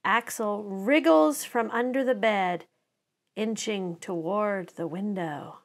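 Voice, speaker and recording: A middle-aged woman reading a children's picture book aloud to preschoolers in an expressive, deliberate storytelling manner. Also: medium pitch. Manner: animated, deliberate storytelling with dramatized sound words.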